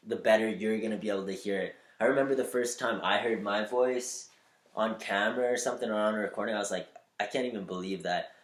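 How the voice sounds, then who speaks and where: A man with a slightly nasal voice giving upbeat, spiritual advice on using your voice, shifting between quick bursts and slow, deliberate lines. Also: on the low side, reverberant. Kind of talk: motivational talk to camera.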